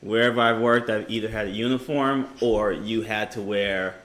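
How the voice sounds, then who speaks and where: A man co-hosting a casual debate podcast, talking with many fillers and restarts, growing animated when teased. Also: fairly low pitch, normal speed. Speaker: podcast co-host.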